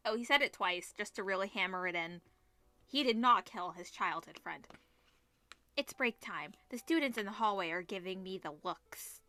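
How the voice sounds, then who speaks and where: A woman's voice: mid-range voice, lively intonation, moderate pace, long pauses, bright, forceful tone, no room sound. A woman reading visual novel text aloud as host, dropping in informal asides and reactions. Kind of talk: reading game text aloud with casual commentary.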